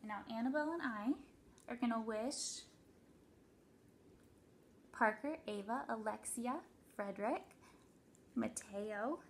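High-voiced woman reading to children. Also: slow pace, long pauses, a little hiss or hum. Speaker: teacher. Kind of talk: expressive read-aloud story time for children. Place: small indoor office.

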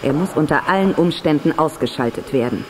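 A woman's voice: low-pitched, expressive, a little fast. An actress playing a scheming, commanding female villain in a radio play, imperious and intense. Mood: grave, calculating. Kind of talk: German radio play acting. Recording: noisy cassette radio play.

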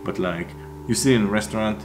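A low-pitched man from a Mediterranean country talks as a casual vlogger in non-native English. He is informal and sweary, given to mock disbelief. Loud plucked guitar music plays underneath him. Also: a little fast. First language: not English. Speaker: expat vlogger. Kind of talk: casual chat to camera. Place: small home room, indoors.

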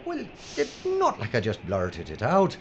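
A man's voice: medium pitch, huge pitch swings, measured pace. An actor playing an anxious, whiny, bumbling film crew man in an audio drama. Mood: defensive, panicky. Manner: flustered, whiny, babbling. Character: anxious bumbling male film crew member, easily flustered. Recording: dull, noisy audio drama recording.

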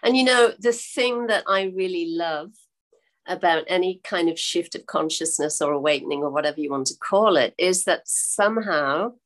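An older woman hosts an interview over a video call from a room at home. Her voice sits a little low, and she talks in an encouraging way with drawn-out phrasing. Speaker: host. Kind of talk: interview hosting. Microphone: video call webcam.

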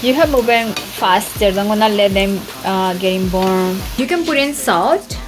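A woman in her forties with a Colombian Spanish accent, talking slowly and haltingly through a recipe in her home kitchen, filmed on a phone held at arm's length. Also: mid-range voice, lively intonation. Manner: halting, explaining step by step with fillers. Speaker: vlogger. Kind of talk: casual cooking vlog. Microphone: phone camera at arm's length. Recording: music playing over the talk.

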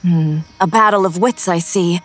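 A woman's voice: on the low side, normal speed, soft background music, lightly acted. A woman voicing a composed, stern young female samurai in an anime parody dub, formal and dry.

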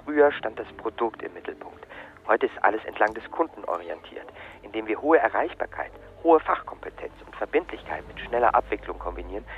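An actor playing an evasive, relentlessly polite call-centre agent in a radio drama, reeling off insistent, formulaic sales patter. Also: male voice, lowish voice, gravelly, phone-quality sound, slight background noise. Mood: earnest, officious. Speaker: customer service agent. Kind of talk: radio drama acting, scripted phone dialogue.

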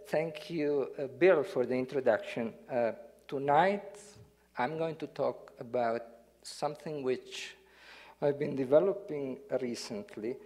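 A man's voice: medium pitch, creaky, a little mellow. A man from Italy with an Italian accent, giving an academic lecture in a hall, hesitant with fillers when speaking freely and reading parts of it aloud. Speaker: guest lecturer.

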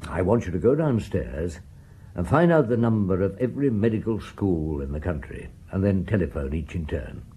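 A mellow, musing police inspector. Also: male voice, deep voice, lively intonation, moderate pace, slight background noise. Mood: brisk, coaxing. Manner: musing, wry, hems and murmurs to himself. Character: shrewd, stubborn bedridden middle-aged male French police chief inspector. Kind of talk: British radio drama acting.

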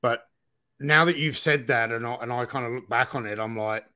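A man with an average-pitched voice in relaxed livestream conversation. He speaks as a co-host, a little halting and thoughtful. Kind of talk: casual chat, reading viewer comments.

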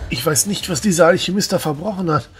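A man's voice: a little high, fast talker, faint music behind, close to an everyday voice. A man playing an alarmed young adventurer in a fantasy audio drama. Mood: alarmed, daunted.

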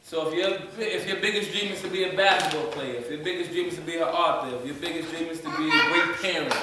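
A young man runs a youth poetry workshop, his somewhat high voice casual and encouraging as he repeats lines slowly. He is picked up by a camera far across a hard-walled room. The sound is very echoey, with heavy background noise. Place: large community room with hard walls.

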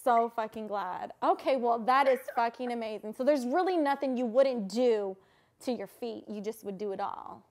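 A woman's voice: fairly high pitch, moderate pace, darkish tone. A woman in her twenties improvising a prank call as a producer, gushing and swearing freely, recorded in a living room. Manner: gushing, profane. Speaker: prank caller.

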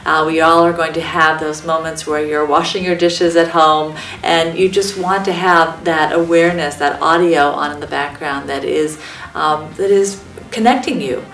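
An American woman in her fifties, speaking in a measured interview style as a radio executive. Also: low voice, steady tone, a bit bright. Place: large dark glass-walled meeting room. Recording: roomy, slightly distant mic, music. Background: music.

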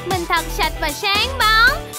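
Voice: very high-pitched, exaggerated voice. A performer voicing a little baby shark child in a children's cartoon, with a childlike, exclamatory manner. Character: cheerful little baby shark child, reluctant about washing.

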